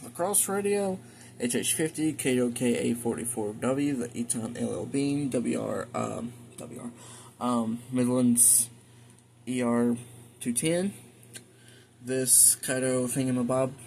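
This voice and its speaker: A man with a noticeably creaky, gravelly voice hosts a casual hobby video. His delivery is informal and halting, like an unscripted walk through a collection. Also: mid-range voice, darkish tone, a little hiss or hum. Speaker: video host, radio collector. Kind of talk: casual showcase narration.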